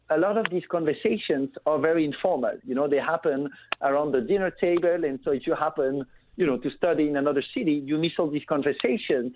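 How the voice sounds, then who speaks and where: A man from Belgium, a guest on a business talk show, speaking over the phone in a casual, fluent way with many fillers. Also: fairly high pitch. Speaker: guest, family business founder. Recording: tinny phone line with faint noise.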